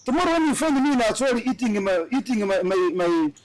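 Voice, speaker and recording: Male host from Tanzania, bright and excitable delivery, high lively voice. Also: quick pace, a crowd in the background, rough recording. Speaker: show host. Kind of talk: studio political talk show.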